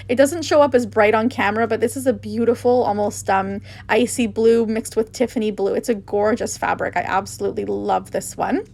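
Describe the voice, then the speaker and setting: A woman's voice: fairly high pitch, darkish tone. A woman hosting a craft tutorial, narrating casually with gushing enthusiasm.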